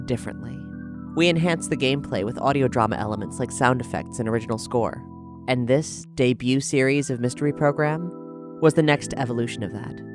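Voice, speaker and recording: A podcast host reading a scripted introduction over guitar music. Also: medium pitch. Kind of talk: scripted narration. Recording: music bed behind the voice.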